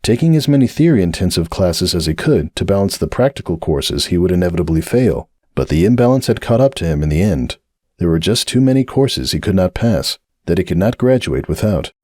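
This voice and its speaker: A man narrating a dramatic audiobook reading in an expressive storytelling manner. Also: no echo, studio quality. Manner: expressive storytelling with shifting pitch.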